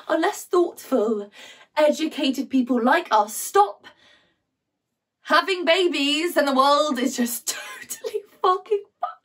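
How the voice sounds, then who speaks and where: A woman performing a dramatic stage monologue as an anxious, agitated young woman who rants in a halting, intense way. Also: high voice, recorded from a distance, voice pushed up, heavily acted. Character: anxious, ranting, educated young woman debating motherhood.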